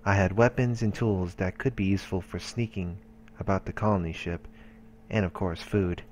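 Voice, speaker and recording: A man's voice: deep voice, steady tone, normal speed, darkish tone, a little hiss or hum. A man reading visual novel narration aloud for a let's play.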